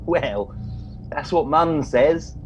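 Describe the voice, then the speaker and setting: A man's voice: on the high side, lively intonation, very slow, muffled recording, soft background music. A man playing a naive, sulky young private and mummy's boy in a comic radio play, childish and a little whiny.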